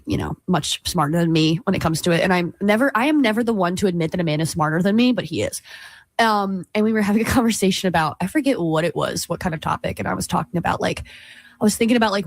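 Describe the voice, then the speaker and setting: A woman's voice: on the low side, no echo. A young woman co-hosting a college radio morning show, chatting casually with lots of laughs, fillers and restarts. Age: young adult. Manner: giggly, rambling, lots of likes and restarts. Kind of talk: casual chat.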